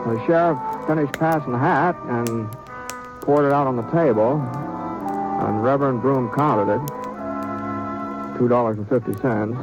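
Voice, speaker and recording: An actor playing an easygoing drifting gunman in an old radio western, with a halting, folksy drawl. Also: mid-range voice, huge pitch swings, rough recording. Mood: narrating. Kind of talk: 1950s radio drama acting. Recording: old radio recording with music behind.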